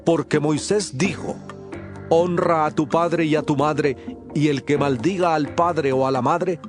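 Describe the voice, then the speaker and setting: An actor playing an authoritative male teacher in a dramatized scripture reading, solemn and deliberate. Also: fairly high pitch, steady tone, close to an everyday voice. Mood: stern rebuke. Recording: clear music bed under voice. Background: music.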